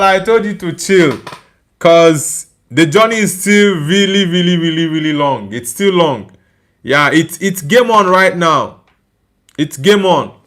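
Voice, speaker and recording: A man's voice: high-pitched, fairly bright tone. Chatty man reacting to a match. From Nigeria. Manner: chatty, laughs often while reading viewer comments. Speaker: watchalong streamer. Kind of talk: casual live match reaction and chat reading.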